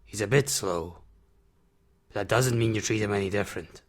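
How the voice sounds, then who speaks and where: A man playing a gruff but fatherly reclusive man in an audio drama, with a terse, measured, plain-spoken manner. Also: fairly low pitch, lightly acted. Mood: calm, firm.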